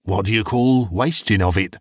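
A man voicing a shrewd old male junk dealer who haggles in a slow, wheedling way. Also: lowish voice, breathy edge, dry recording, studio quality. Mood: sly.

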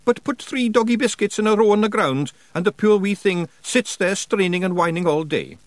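A man's voice: sits high, normal speed, no room sound, voice pushed up, natural voice. A man voicing a tiny, boisterous male fairy warrior chief with a broad Scottish accent in an audiobook. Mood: blustering. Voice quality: broad dialect, blustering and cheerful. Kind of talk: audiobook character voice.

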